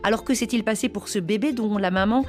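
A woman's voice: mid-range voice, fast. A woman hosting a radio news programme, putting questions to a reporter. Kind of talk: broadcast interview with a reporter.

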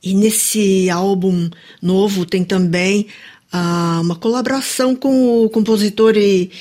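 A woman's voice: medium pitch, steady tone, measured pace, darkish tone. A woman with a Brazilian accent conducting a radio interview in a studio, moving between prepared introductions and questions.

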